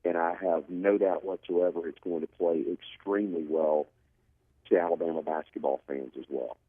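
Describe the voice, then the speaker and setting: Man, very low husky voice, sports commentator, emphatic repetition, thin phone-like sound. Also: fairly even intonation, moderate pace, no echo. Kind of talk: sports interview analysis. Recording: narrow phone-like line.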